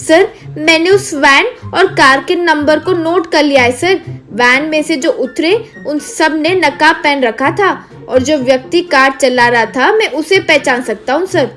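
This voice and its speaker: Lady doing a polite earnest little boy from a cartoon, high voice. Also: measured pace, fairly bright tone, faint music behind. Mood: earnest urgent. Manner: earnest, respectful. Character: earnest, dutiful young boy hero. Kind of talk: scripted cartoon dialogue.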